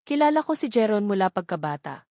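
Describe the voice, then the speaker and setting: A gentle older godmother voiced by a woman in a romantic audio drama. She is soft and soothing, with a worried, confiding manner. Her pitch is mid-range and the sound is dry. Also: very animated, quick pace, no echo. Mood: confessing.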